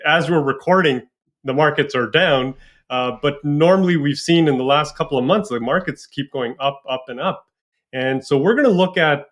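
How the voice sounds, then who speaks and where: A man's voice: on the high side, a bit bright. A man hosting a podcast interview, upbeat and welcoming, with frequent fillers. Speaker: podcast host.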